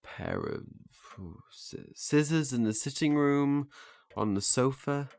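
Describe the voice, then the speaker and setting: A man's voice: mid-range voice, animated, slow, vocal fry, darkish tone, no echo. A man playing an earnest, flustered learner in a naturalistic audio drama, hesitant and stammering as he restarts his phrases. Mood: patient, instructing. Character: earnest, flustered male learner eager to master memory techniques.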